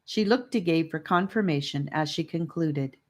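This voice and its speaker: A woman's voice: low voice, moderate pace, darkish tone. A woman narrating a children's fantasy audiobook from a script. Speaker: audiobook reader.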